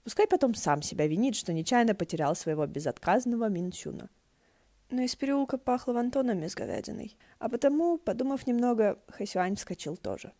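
A woman reading fan fiction aloud as an expressive audiobook narration. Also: a little high, brisk, no room sound.

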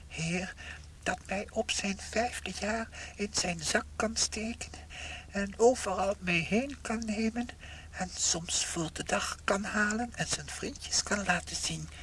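An actor playing a tiny, pious, grateful shrunken husband who talks to himself in a radio play. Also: male voice, high voice, a bit bright, a little hiss or hum. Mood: earnest pleading. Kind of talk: radio play acting, monologue.

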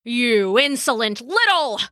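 Woman as male storm god, short-tempered, roaring, blustering, forceful, storybook myth. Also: on the high side, very animated, slow pace, dry recording, studio quality, exaggerated voice. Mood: furious. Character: hot-tempered, bellowing male thunder god.

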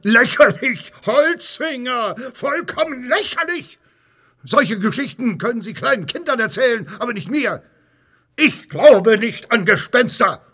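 A man playing a self-important, indignant small-town mayor in a children's audio drama, pompous and emphatic, with a raspy voice. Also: very high voice, expressive, measured pace, sharp tone, full character voice. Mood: mocking, scornful.

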